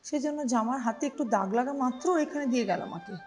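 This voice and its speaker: A woman's voice: high-pitched, a little fast, faint music behind, lightly acted. A woman voicing a proud, fussy young female piece of clothing in a story, dramatic and easily indignant. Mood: proud, curious. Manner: dramatic, indignant, peppers talk with English words.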